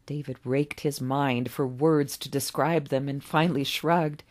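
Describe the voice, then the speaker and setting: A woman's voice: very deep voice, fairly even intonation, measured pace. A woman author narrating her own story in a storytelling style.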